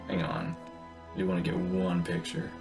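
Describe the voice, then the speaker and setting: A man's voice: low voice, big pitch swings, normal speed, creaky, over music. A man streaming a game and reacting to it with casual commentary.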